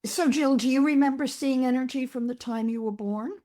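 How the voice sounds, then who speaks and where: An older woman speaking as a guest psychologist, earnest and reflective, gently teaching as she talks. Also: high voice, a little fast. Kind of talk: interview conversation.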